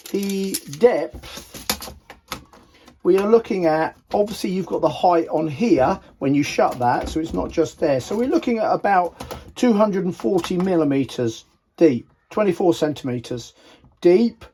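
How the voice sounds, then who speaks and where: A man with a croaky voice, hosting a casual tool review video in a chatty, rambling way and laughing at himself. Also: sits high, expressive, a little slow, dark tone, slight background noise. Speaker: YouTube channel host.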